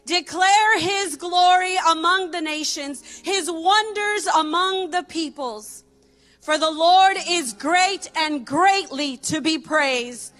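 A woman's voice: extremely high pitch, bright, forceful tone. A woman in her thirties reading a psalm aloud in church into a handheld mic, with declamatory, emphatic proclamation. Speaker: church reader. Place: church stage, indoors. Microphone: handheld microphone. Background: music.

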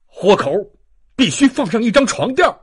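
Male actor for commanding male revolutionary fighter in an audiobook, high-pitched, animated. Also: moderate pace, husky, full character voice. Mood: urgent, commanding. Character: urgent, commanding revolutionary men at a barricade. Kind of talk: dramatised audiobook dialogue.

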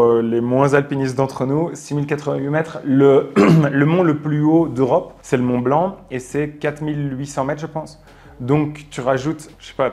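A man, telling a travel story in an interview, animated, with lots of fillers and restarts, on a lapel mic in a studio. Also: medium pitch. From Belgium. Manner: animated, gestures a lot, many fillers and restarts. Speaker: travel adventurer guest. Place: studio with plain backdrop.

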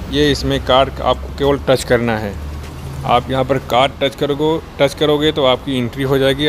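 A young man from India narrating a walking tour to his phone camera, explaining step by step and talking straight to viewers, outdoors in a busy city and on a bus. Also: mid-range voice. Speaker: travel vlogger. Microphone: handheld phone camera. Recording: street and traffic noise behind.

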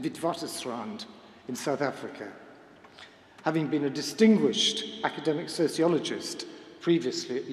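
A man's voice: a little high, a little mellow. Older Englishman speaking in an echoing church. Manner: measured, formal, literary phrasing. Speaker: memorial speaker. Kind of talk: prepared spoken tribute. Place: large church, speaking to a gathering. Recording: echoey church hall with long reverb.

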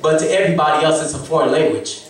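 A man's voice: a little high, racing. A man in his thirties from Haiti with a Haitian accent, preaching an exhorting sermon as guest preacher. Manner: exhorting, preaching to the congregation with rising emphasis. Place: church sanctuary, mid-size, indoors. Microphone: handheld mic, far camera. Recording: echoey church heard from far off. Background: congregation responding.

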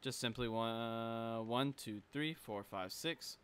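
A man's voice: medium pitch, slow, noisy background. A young man with a sore throat, casually talking through a game building tutorial with plenty of fillers and restarts. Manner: casual, rambling, lots of fillers. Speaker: gaming tutorial creator.

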